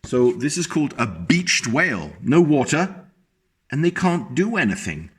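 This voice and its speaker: A man reading a picture book aloud to young children, animated and stopping to explain the pictures to his small listeners. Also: mid-range voice, a little slow, husky.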